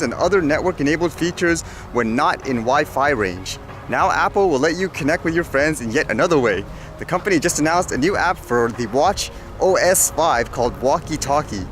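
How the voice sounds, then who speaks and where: A man's voice: fairly high pitch, expressive, fairly quick, a bit bright, slight background noise. A man vlogging casually, talking through things informally and musing aloud with questions to himself and viewers. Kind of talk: casual vlog chat, reading news items aloud with comments. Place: outdoors in a windy urban area. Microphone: action camera. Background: clear background music.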